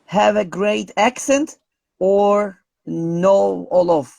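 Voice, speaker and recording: A man with a Turkish accent hosting an online interview, polite and soft-spoken. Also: sits high, fairly even intonation, extremely slow, vocal fry, dry recording. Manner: polite, soft-spoken, frequent laughs and thanks. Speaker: interviewer host. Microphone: video call.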